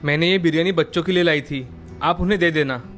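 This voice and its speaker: Man playing an earnest young butcher, high-pitched. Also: quick pace, fairly bright tone, faint music behind, close to an everyday voice. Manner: polite, earnest. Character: earnest, kind young male butcher, devoted son.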